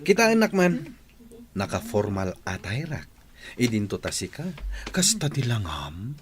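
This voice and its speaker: Man acting a taunting spoiled young man, wildly swooping pitch. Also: wildly swooping pitch, a little slow, noisy background. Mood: mocking. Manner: taunting, scornful. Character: spoiled, mocking young man who belittles his jobless neighbor. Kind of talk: Ilocano radio comedy drama acting.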